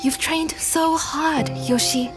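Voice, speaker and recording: Woman playing a high-voiced gentle anxious young woman. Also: steady tone, slow pace, over loud music. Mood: anxious, confessing. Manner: hesitant, tender. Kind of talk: dramatic audio drama dialogue.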